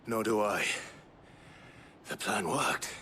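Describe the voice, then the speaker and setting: An actor playing a brooding, resolute young nobleman in exile in a fantasy game, with a measured, formal, understated delivery. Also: on the low side, sharp tone, slight background noise. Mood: grim, doubtful. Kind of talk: dramatic fantasy game acting. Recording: game music behind the dialogue.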